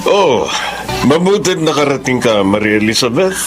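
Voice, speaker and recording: Casting: male actor as genial family patriarch, animated mid-pitched voice, courtly Taglish, over clear background music. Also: normal speed, lightly acted. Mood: genial, sociable. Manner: courtly, mixes Tagalog with English. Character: genial, formal patriarch greeting guests. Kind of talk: Tagalog television drama acting.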